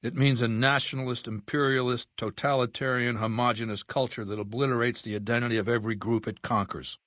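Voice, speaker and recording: An actor playing an imperious, cerebral middle-aged warlord in a video game, speaking with deliberate, disdainful authority. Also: male voice, medium pitch, fairly even intonation, a bit bright, no echo, studio quality, close to an everyday voice. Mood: lecturing, resolute. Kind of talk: video game character dialogue.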